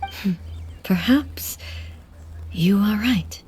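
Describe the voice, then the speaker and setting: A woman's voice: mid-range voice, extremely slow, darkish tone, soft background music. A woman playing a composed, stern female warrior ruler in a video game, speaking formally and with reserve. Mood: pensive.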